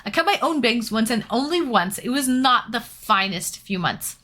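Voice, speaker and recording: Bright-voiced chatty Canadian woman streamer. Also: a little high, lively intonation, normal speed. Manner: chatty, reads out viewer comments. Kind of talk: casual chat while painting.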